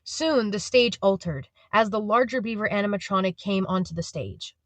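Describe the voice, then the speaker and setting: A woman's voice: medium pitch, normal speed. A woman reading scripted story narration for an audio drama.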